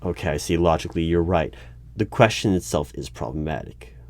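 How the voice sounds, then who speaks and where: A man playing an exasperated, argumentative hiring manager in an audio roleplay, speaking haltingly and in formal wording. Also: sits low, noisy background. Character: exasperated male human-resources hiring manager interviewing a robot. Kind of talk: one-sided audio roleplay.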